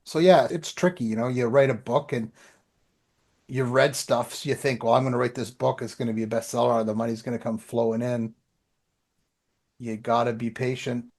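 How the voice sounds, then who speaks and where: A man's voice: mid-range voice, steady tone, normal speed, darkish tone. A middle-aged man co-hosting a podcast, chatting casually about writing in a plain-spoken, anecdotal way. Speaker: podcast co-host and writer.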